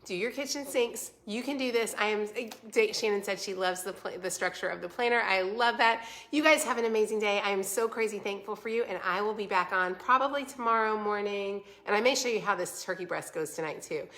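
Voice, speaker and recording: A woman's voice: medium pitch, brisk, few pauses, fairly bright tone. Stuffy-voiced woman chatting on livestream. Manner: chatty, encouraging, addresses viewers directly. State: stuffy, congested voice from a cold. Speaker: vlog host selling a home planner. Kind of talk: casual livestream chat, answering viewer comments.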